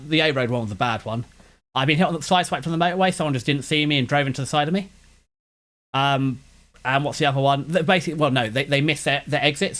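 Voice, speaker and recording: A man's voice: mid-range voice, a bit bright. London guy rambling on, slight lisp, words kinda slur together, lots of restarts. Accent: London English. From West London, England. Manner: meandering with frequent restarts. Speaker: anime channel host. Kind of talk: casual rambling chat.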